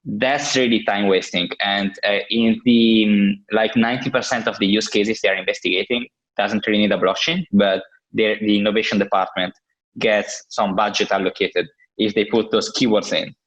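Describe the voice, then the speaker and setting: A man's voice: fairly low pitch, steady tone, normal speed, a bit bright, highs cut off. A man in his thirties from Italy with an Italian accent, answering interview questions casually and hesitantly, full of fillers and restarts. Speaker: guest, crypto entrepreneur.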